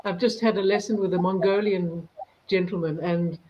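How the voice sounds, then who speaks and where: A woman's voice: on the low side, fairly even intonation, muffled recording. South african woman tutor explaining stuff, noisy call line. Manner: explaining, measured. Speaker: online English tutor. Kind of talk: casual video-call chat.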